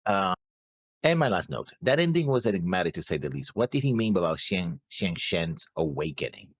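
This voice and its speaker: A man with a very deep voice and a lively, swooping pitch chats about an anime episode. He speaks haltingly, often stopping and trailing off as he works through his notes. The sound is dry and close. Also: dry recording. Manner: hesitant, halting, trails off at the ends of phrases. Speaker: reaction show co-host. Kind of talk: casual discussion of an anime episode.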